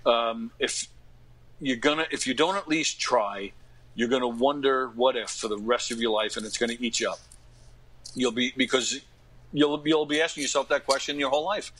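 A man's voice: medium pitch, moderate pace, fairly bright tone, low-quality recording. A middle-aged man talking casually as an interview guest, earnest and emphatic, often repeating his phrases. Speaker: podcast guest, actor and comedy radio host.

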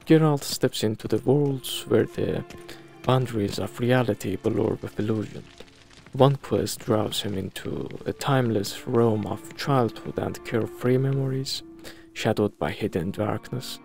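A man's voice: lowish voice, a little slow, gravelly, a little mellow. A man presenting a game video in scripted review narration. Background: background music.

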